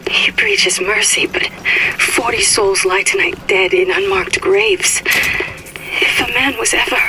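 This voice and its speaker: An actress playing a prophet's devout, guilt-ridden wife, solemnly confessing in a deliberate, sermon-like way on a game audio diary. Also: female voice, on the high side, exaggerated voice. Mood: troubled, grieving. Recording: noisy, crackly old audio diary.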